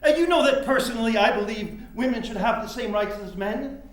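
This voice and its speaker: Man acting a patronizing, high-voiced reformer, very echoey. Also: fairly quick, fairly bright tone. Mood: placating, firm. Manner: patronizing, rhetorical, orator-like. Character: condescending, self-assured 19th-century male reformer and politician. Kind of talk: theatrical staged-reading acting. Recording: very echoey, roomy hall recording.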